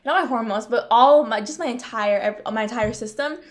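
A woman's voice: on the high side, brisk. A woman in her twenties whose first language is Vietnamese, co-hosting a podcast in casual, slang-filled chat. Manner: chatty, animated, peppered with slang and "like". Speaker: podcast co-host.

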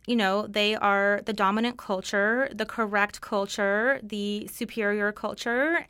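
Ukraine-born woman in her 30s, first language russian, super smooth clear voice, dry close sound, talks earnest and measured with lots of ums. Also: medium pitch, fairly bright tone, dry recording. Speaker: interviewee, activist. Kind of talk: conversational interview answers.

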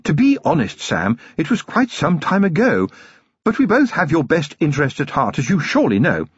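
A man's voice: on the high side, huge pitch swings, moderate pace, breathy edge, dry recording, muffled recording, pitched above the performer's own voice, heavily acted. A man doing a gushing posh lady. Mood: fond. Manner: refined, gushing upper-class lilt. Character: genteel noblewoman, warm and affectionate.

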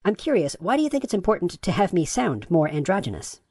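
A man reading the lines of a courteous, gender-neutral AI chatbot, with his voice pitch-shifted upward. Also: very animated, quick pace, a little mellow, dry recording, clean studio sound, exaggerated voice. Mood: polite, agreeable. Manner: courteous, affirming, asks follow-up questions. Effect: pitch raised.

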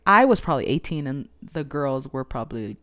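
A woman's voice: extremely low pitch, sing-song, fairly quick, fairly bright tone. A young woman chatting casually as a podcast co-host, rambling, teasing and giggling often. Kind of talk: casual banter.

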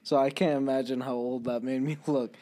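A man's voice: medium pitch, little pitch movement, a little fast, mellow. A man in his twenties chatting casually on a podcast couch, telling stories and laughing often. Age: 20s. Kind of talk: casual chat.

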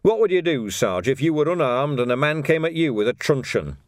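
A cocky police constable , voiced by a male narrator, cool and provoking toward his superior. The audiobook delivery has a lively rise and fall, recorded dry. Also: on the high side, brisk, dry recording, voice pushed up. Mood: challenging.

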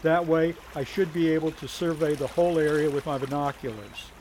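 Lead agent, 1930s crime serial male actor: fairly high male voice, brisk and authoritative, faint noise underneath. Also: steady tone, darkish tone, natural voice. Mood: commanding. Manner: military-style clipped orders. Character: commanding, brisk 1930s federal agent team leader. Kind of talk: 1930s thriller audio drama acting.

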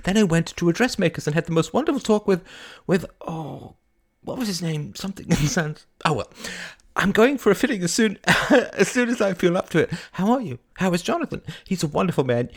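A man's voice: a little high, moderate pace, creaky, breathy edge, pitched above the performer's own voice. A man voicing a giddy, overwrought young woman in an audiobook, theatrical, breathless and stammering, with a proud, tearful edge and brittle laughter. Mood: giddy, excited. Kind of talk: audiobook character acting.

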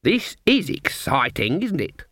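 A man voicing an old country witch who feigns naive eagerness in a fluttery, halting way, as an audiobook character. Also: a little high, sing-song, fairly bright tone, slightly rough, no room sound, clean studio sound, voice pushed up, full character voice. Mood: excited, naive. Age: older.

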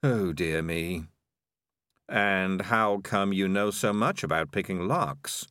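A man's voice: deep voice, animated, slow pace, fairly bright tone, dry recording, full character voice. A man voicing a sly, worldly male talking cat, drawling with dry skepticism in a storybook reading. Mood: dismayed.

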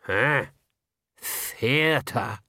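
A man's voice: a little high, animated, normal speed, a bit bright, no room sound, clean studio sound, pitched above the performer's own voice. A man voicing a stern, proud old village witch woman with a blunt, suspicious manner, in a storybook reading.

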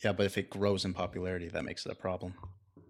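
Low-voiced man, hesitant and agreeable. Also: level tone, rapid-fire. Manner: hesitant, searching for words, agreeable. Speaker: podcast co-host. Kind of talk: casual discussion.

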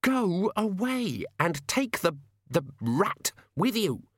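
A man's voice: very animated, slow, no echo, studio quality, exaggerated voice. Man voicing a cold, clipped young woman. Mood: brusque. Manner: clipped and cold, lifted pitch.

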